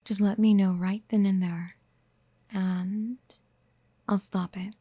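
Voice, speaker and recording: Actress for a clingy, doting yandere mommy in ASMR audio: slow, hushed and breathy, close and dry, gently coaxing. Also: female voice, mid-range voice, little pitch movement, no echo. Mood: gentle, hesitant. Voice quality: soft, breathy, often near a whisper. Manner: tender, coaxing, hesitant pet-name murmuring. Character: doting, obsessive yandere woman wanting to mother the listener. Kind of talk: soft-spoken ASMR roleplay. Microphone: close microphone in a dry, quiet space.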